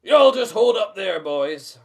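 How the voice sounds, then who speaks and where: A man putting on a loud, bossy cook. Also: high-pitched, sing-song, slow pace, pitched above the performer's own voice, full character voice. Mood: stern. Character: strict, bossy Southern kitchen owner.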